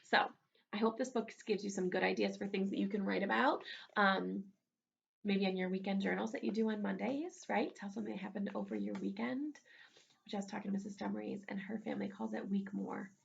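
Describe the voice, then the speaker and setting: A woman's voice: medium pitch, fairly even intonation, fairly quick, gravelly, highs cut off. A woman teaching young children in a conversational, encouraging way, as a first-grade teacher talking through a read-aloud. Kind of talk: read-aloud lesson with asides to pupils.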